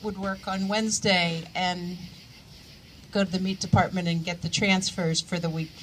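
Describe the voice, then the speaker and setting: A woman in her sixties giving a memorial tribute to a coworker, speaking haltingly with many pauses and fillers. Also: lowish voice, little pitch movement, noisy background. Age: 60s. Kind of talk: memorial remarks.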